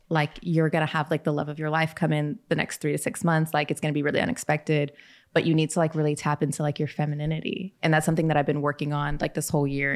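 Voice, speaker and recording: A young American woman with a low-pitched voice talks very quickly in casual conversation. She leans on "like" as a filler and slips into quoted snippets of dialogue as she explains things. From Maine and Texas, United States. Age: young adult. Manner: heavy use of "like", quotes imagined dialogue. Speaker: podcast guest. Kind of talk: casual chat.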